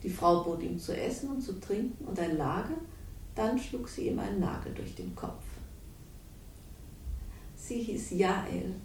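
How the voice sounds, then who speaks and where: Mellow, roomy voice of a cold scheming woman. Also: expressive, measured pace, long pauses, slight background noise. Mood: solemn, reciting. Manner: smug, ironic, coolly amused. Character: cold, manipulative, mocking woman confessing her schemes. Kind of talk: 1960s German radio crime drama acting. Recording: roomy, distant, faintly rumbling radio recording.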